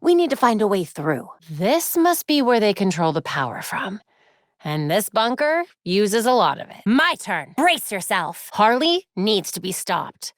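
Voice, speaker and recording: A young American woman playing a confident, quick-witted superhero detective in a video game, with wry, conversational delivery. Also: medium pitch, sing-song, dry recording, studio quality. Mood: analytical. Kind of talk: acted video game dialogue.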